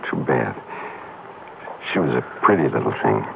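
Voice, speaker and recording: An actor playing a calm, gruff man, the detective's colleague, in an old radio crime drama. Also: very deep voice, moderate pace, exaggerated voice. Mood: subdued, concerned. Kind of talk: 1950s radio drama acting. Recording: noisy, tinny old radio recording.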